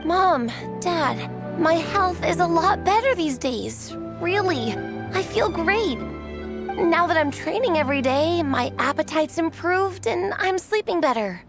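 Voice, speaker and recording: A woman's voice: very high-pitched, animated, slow pace, full character voice. A woman voicing an earnest, polite young girl in an anime game. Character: earnest, polite teenage girl eager to prove herself. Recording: music behind.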